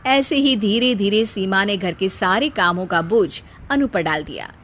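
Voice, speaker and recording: A woman's voice: medium pitch, expressive, unhurried, fairly bright tone, a little hiss or hum. A woman narrating a scripted moral story as a storyteller.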